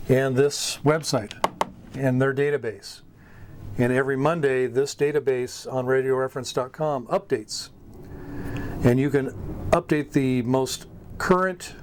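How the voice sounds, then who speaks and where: A man hosting an informal hobby lesson, explaining step by step in a halting way and repeating words as he goes. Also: mid-range voice, darkish tone, slight background noise. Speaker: radio hobbyist host giving beginner advice. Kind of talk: informal instructional talk.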